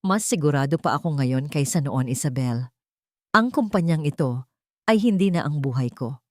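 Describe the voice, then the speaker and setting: A woman with a Filipino accent voicing a calm, earnest older male tycoon in a dramatic audio story. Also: sits low, no room sound, clean studio sound. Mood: calm assurance. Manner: formal, measured, earnest.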